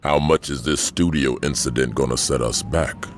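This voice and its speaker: An actor playing a stern middle-aged American crime boss in a video game cutscene, blunt and authoritative, with a gravelly voice. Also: male voice, extremely low pitch, moderate pace, a little hiss or hum. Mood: stern, businesslike. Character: commanding middle-aged male gang kingpin, stern and short-tempered.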